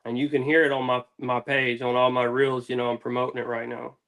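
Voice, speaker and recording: A man's voice: mid-range voice, completely flat delivery, a little fast, fairly bright tone. A man chatting casually as an interview guest, laid-back, slangy and rambling. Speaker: interview guest, music artist. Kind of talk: casual chat.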